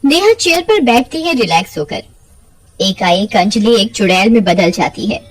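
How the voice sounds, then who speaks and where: A woman's voice: medium pitch, animated, normal speed, faint music behind, poor audio. A woman telling a story as a scripted narration.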